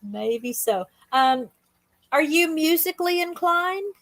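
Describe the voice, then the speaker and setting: A woman's voice: high-pitched, expressive, slow, a bit bright. A woman hosting a casual, conversational interview, asking questions and chatting. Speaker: interviewer, show host.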